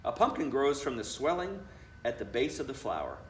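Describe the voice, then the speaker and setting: A man reads a children's book aloud as a school principal would, expressive and chatty with his young listeners. His pitch moves around a lot, and he sounds slightly away from the mic in an echoey room with faint noise. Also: fairly quick, recorded from a distance.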